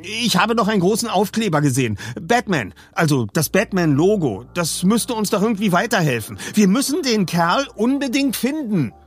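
Audiobook role: upset, urgent teen boy, acted by a man, pitched high and animated. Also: moderate pace, hardly any pauses, breathy edge, soft background music, exaggerated voice. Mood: agitated, insistent. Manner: agitated, exclaiming, urgent. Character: frantic, furious teenage boy, upset about his wrecked car. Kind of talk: audiobook character voice.

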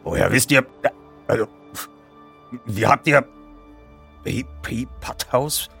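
A man voicing a gruff, irritable, heavyset chief editor in an audiobook, blustering, brusque and dismissive. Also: mid-range voice, slow pace, fairly bright tone, faint music behind, voice pushed up. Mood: baffled, irritated.

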